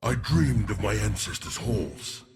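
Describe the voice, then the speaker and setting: Heavily gravelled proud warlord. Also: male voice, fairly low pitch, expressive, measured pace, heavily acted. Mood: solemn, haunted. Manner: solemn, portentous. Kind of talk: solemn first-person monologue for a game. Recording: prominent music under the voice. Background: music.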